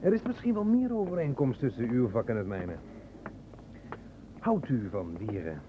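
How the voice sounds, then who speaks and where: A man acting in a radio play as a calm, dryly humorous police inspector. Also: medium pitch, normal speed, lightly acted. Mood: dry, wry. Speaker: actor in a radio drama. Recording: old radio recording, faint noise.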